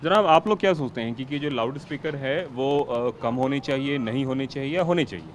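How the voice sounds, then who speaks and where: A man's voice: medium pitch, fairly quick, slight background noise. A man reporting on location and putting questions to local residents as a TV news reporter. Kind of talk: on-location reporting and vox-pop interviewing.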